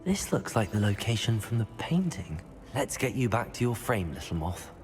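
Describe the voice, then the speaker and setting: A curious student wizard in a game, lowish voice, really expressive, music loud behind. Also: male voice, moderate pace. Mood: intrigued. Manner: polite, articulate. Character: polite, curious teenage male wizard student, earnest and confident. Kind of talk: scripted game cutscene acting. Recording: prominent music under the voice.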